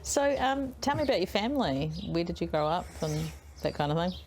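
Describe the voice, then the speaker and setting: A woman hosting an interview, candid and gentle in her questions. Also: fairly low pitch, sing-song, a little fast, a little hiss or hum. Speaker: interview host.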